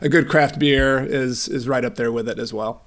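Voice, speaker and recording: A man's voice: medium pitch, fairly even intonation, moderate pace. A middle-aged man speaking as a podcast guest, telling personal stories in a casual, conversational way. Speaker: podcast guest, real estate investor and author. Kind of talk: casual chat, personal storytelling.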